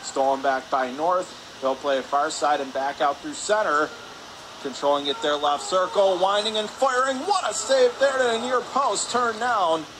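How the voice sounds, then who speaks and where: A man with a slightly high voice calls a hockey game live for radio. His delivery is brisk and clipped, following the play moment by moment. Loud rink noise runs behind him. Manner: brisk clipped present-tense calls, rising on shots. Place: indoor ice rink. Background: loud arena ambience noise.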